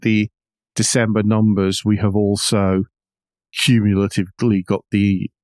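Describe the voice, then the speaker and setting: Male podcast host for news commentary: low voice, measured, wry, tight dry sound. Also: little pitch movement, darkish tone, dry recording.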